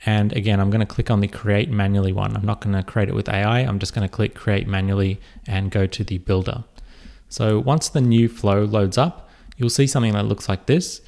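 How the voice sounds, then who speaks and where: A man giving a relaxed step-by-step software tutorial over a screen recording, with frequent fillers. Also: lowish voice, brisk, gravelly.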